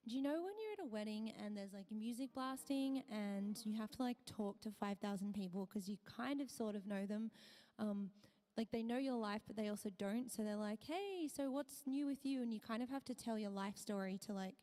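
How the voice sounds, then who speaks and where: A woman streamer chatting casually with her viewers, her voice hoarse and tired, half whispering. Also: medium pitch, moderate pace, mellow, long reverb tail. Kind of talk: casual live-stream chat.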